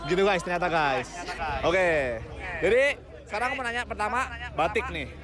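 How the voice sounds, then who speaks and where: A young Indonesian man doing quick, chatty vox-pop interviews at an outdoor festival. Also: high-pitched, expressive. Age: young adult. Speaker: street interviewer. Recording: noisy crowded festival recording. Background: crowd chatter.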